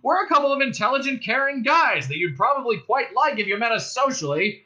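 A man's voice: high-pitched, big pitch swings, fast, pitched above the performer's own voice, heavily acted. A man voicing a self-pitying, gun-happy space cop in a comic sci-fi audiobook, calling out in an earnest, indignant way. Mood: indignant, aggrieved. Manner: shouting through a bullhorn, earnest and indignant.

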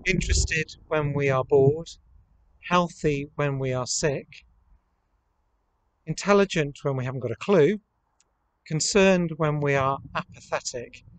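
Guy priest doing an earnest reflective sermon outdoors, voice a bit high, little background noise. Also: low-quality recording. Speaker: priest leading online worship. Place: outdoors in a rural churchyard. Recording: outdoor camera recording with ambient noise.

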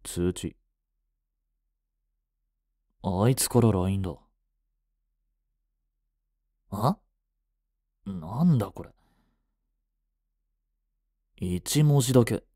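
A man playing a brusque teenage schoolboy, a gruffly caring childhood friend with blunt, casual speech, in a romantic voice drama. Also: on the low side, lively intonation, slow pace, pauses often, mellow, no room sound, clean studio sound. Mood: puzzled, uneasy. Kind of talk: Japanese romantic situation voice drama.

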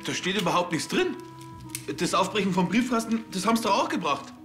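A man's voice: high voice, lively intonation, fast. An actor playing a sulky, affronted junior policeman in a TV crime comedy. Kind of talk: naturalistic comedy dialogue. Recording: echoey room with music behind.

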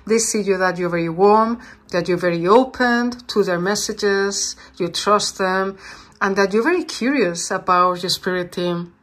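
A woman tarot reader, talking warmly and reassuringly to her viewers and sometimes searching for English words. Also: medium pitch, unhurried. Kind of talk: channeled tarot reading.

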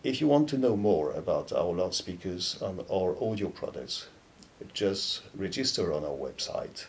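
Low, gravelly middle-aged man. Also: animated, unhurried. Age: 50s. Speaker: loudspeaker company presenter. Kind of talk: explanatory product talk to camera. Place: dark indoor studio or demo room, seated. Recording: low rumbling background noise.

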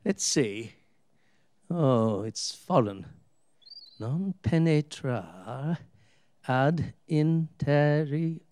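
A man's voice: on the high side, lively intonation, drawn-out words, pauses often, darkish tone. A man playing a jovial, curious older husband in a radio play, chuckling and colloquial. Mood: curious, puzzled.

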